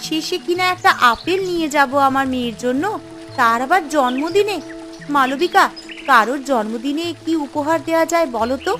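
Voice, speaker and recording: একজন মহিলা রূপকথার কার্টুনে মেয়ের প্রতি নিবেদিত এক আন্তরিক মায়ের চরিত্রে আবেগ দিয়ে কণ্ঠ দিচ্ছেন।. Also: female voice, high voice, lively intonation, normal speed, bright, forceful tone, soft background music. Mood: puzzled, disappointed. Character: devoted mother seeking a birthday gift for her daughter. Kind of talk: animated fairy tale voice acting.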